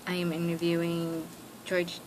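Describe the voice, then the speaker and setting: A woman's voice: lowish voice, completely flat delivery, measured pace. A young woman interviewing for an oral history project, asking hesitant questions full of fillers and "like". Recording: noisy recording with room hiss.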